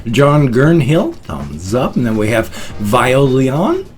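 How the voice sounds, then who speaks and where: Middle-aged American man, lively rambling chat. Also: mid-range voice, takes it slowly, soft background music. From United States. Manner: rambling, dorky. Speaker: vlogger. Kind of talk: casual unscripted vlog chat.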